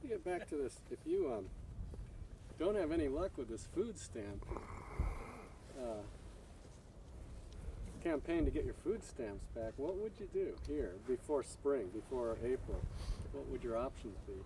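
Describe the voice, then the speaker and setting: Distant male interviewer over clear noise. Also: mid-range voice, lively intonation, measured pace, long pauses, dark tone. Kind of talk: conversational documentary questioning. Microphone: away from the camera microphone. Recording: distant off-mic voice, noisy field video.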